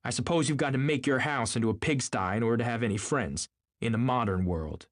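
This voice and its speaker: A man's voice: medium pitch, a little fast, voice pushed up, lightly acted. A man voicing an arrogant, indignant wealthy husband in an audiobook, pompous and snapping. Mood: sneering, pompous.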